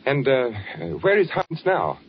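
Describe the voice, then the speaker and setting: An actor playing a hunted, fearful spy in a 1940s radio thriller, narrating in a brooding, deliberate way. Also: male voice, medium pitch, big pitch swings, slight background noise. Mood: uneasy. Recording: muffled 1940s radio recording with music.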